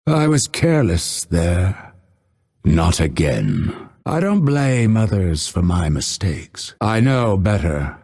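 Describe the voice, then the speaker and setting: An actor voicing a grim, vengeful male assassin in a video game, husky and gravelly, with measured, solemn, dramatic delivery. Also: low voice, very animated, a little mellow, heavily acted. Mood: bitter, vengeful. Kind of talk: scripted game character voice lines.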